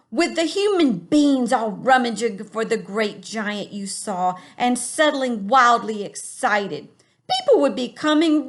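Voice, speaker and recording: A woman reading a storybook aloud and voicing a kindly male giant with a funny put-on English accent and jumbled grammar. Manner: booming, mangled grammar. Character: huge kindly male giant, garbled words.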